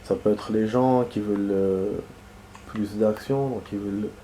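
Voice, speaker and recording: A man with a stuffy cold talks through a game session in a loose, rambling way, stopping and restarting his thoughts. His voice sits low and mellow, with a nasal edge from the cold. A faint hiss runs under the recording. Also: fairly even intonation, measured pace. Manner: rambling, many fillers and restarts. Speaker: gaming video host. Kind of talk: casual gameplay commentary.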